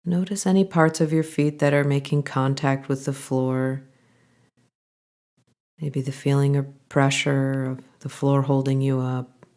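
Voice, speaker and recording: A woman guiding a body scan meditation, giving calm, gentle instructions in an unhurried way with pauses. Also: a bass voice. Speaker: meditation guide.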